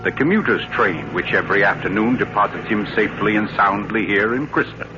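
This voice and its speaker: An actor playing a suave, theatrical mystery writer who tells a sinister tale in an urbane, deliberate, dramatic 1940s radio style. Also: male voice, lowish voice, natural voice. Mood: self-assured, smooth. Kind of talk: 1940s radio thriller acting. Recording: muffled old radio recording with music.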